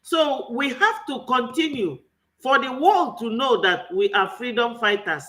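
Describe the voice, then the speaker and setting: A man's voice: very high-pitched, animated, unhurried, sharp tone. A man with a Nigerian accent giving an impassioned political commentary, full of rhetorical questions. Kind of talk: online political commentary monologue.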